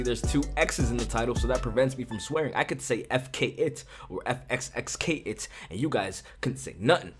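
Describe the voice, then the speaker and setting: A young man giving casual, animated reaction commentary to a music video in a small home studio on a large condenser mic. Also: medium pitch, breathy edge. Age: young adult. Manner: animated, hyped, chatty, slangy. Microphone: large studio condenser mic, headphones on. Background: faint music from the video.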